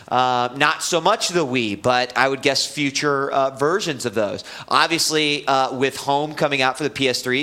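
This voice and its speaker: A man's voice: a little high, pushed and bright. A man hosting a live gaming web show, casually reviewing a computer mouse with lots of fillers and restarts.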